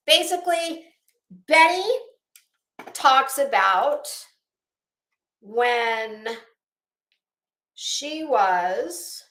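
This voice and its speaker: Female commentary host, sarcastic and sweary, unhurried delivery, casual, recorded in an echoey room. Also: fairly high pitch, a bit bright. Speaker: online show host. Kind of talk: casual commentary on another online personality.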